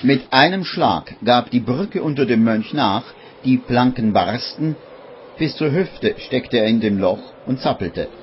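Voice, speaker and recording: A man narrating a scripted radio play as a literary storyteller, measured and deliberate. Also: medium pitch, animated, a little hiss or hum. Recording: dull vintage radio recording, faint music.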